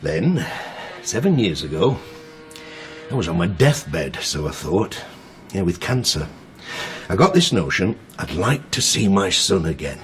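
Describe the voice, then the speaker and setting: A man's voice: deep voice, sing-song, a little slow, a bit bright, heavily acted. An actor playing a genial, sentimental older father in a radio drama, talking in a hesitant, conversational way. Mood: reflective, sentimental. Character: genial, sentimental older father, semi-retired family furniture boss. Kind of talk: naturalistic radio drama acting.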